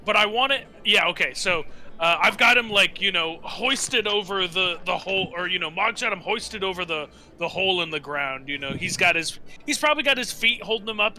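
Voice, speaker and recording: A man's voice: high-pitched, brisk, bright, forceful tone. A man playing a tabletop game, chatting casually in a hesitant, rambling way.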